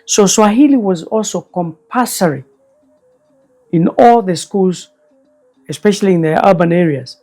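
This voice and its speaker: An older man, a guest elder recalling the past in slow, measured speech. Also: high-pitched, mellow, soft background music. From Kenya. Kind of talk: reflective storytelling interview.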